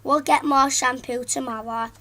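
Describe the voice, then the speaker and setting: A woman voicing a patient, weary mother who scolds gently in a storybook reading. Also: sits high, steady tone, normal speed, slight background noise. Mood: gentle.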